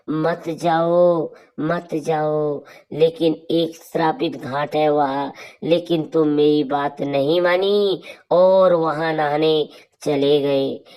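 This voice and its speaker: A woman's voice: low voice, a little mellow. A woman voicing a distraught village wife wailing in grief in a cartoon horror story. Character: distraught village wife wailing over her dead husband.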